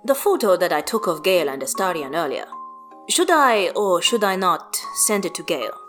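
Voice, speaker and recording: A woman's voice: fairly low pitch, sing-song, moderate pace, over music. A woman voicing a hesitant young man. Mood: unsure.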